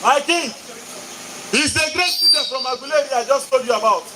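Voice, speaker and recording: A man acts a proud Nigerian elder at a royal court. The voice sits extremely high and pushes out each line in emphatic stage declamation, often repeating itself. Clear noise runs through the live theatre recording. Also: a little fast. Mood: earnest, deferential. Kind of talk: theatrical stage play acting.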